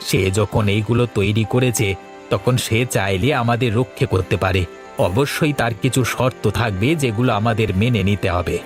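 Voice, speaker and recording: Man playing a low-voiced, worried, earnest villager. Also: moderate pace, lightly acted. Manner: earnest, explanatory. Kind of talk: horror cartoon voice acting. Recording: loud music behind the voice.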